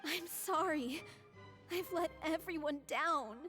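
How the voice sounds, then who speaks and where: Gentle young woman, high voice, earnest, polite, video game character, clear background music. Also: slow pace, full character voice. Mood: guilty. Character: gentle, earnest, apologetic young female stage singer.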